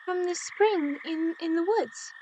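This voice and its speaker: Timid, very high-pitched little girl. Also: noisy background. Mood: meek. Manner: polite, halting, deferential. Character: timid eight-year-old servant girl, meek and frightened. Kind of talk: radio drama acting.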